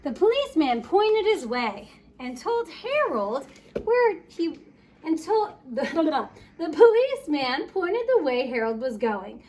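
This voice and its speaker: High-pitched woman reading a children's story. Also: a little hiss or hum. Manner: expressive, playful, drawn-out storytelling. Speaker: story time reader. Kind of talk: children's picture book read-aloud with chatty asides.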